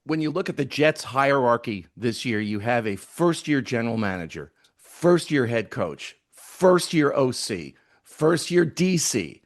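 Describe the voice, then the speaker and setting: A middle-aged man speaks as the host of a sports interview, in a medium-pitched voice. His delivery is conversational, framing questions and commentary for a guest. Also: very animated, a little slow. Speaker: interviewer, former radio sports director.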